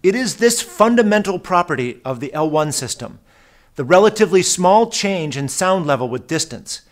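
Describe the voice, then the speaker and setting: Higher-voiced man, scripted explainer narration. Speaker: product video narrator.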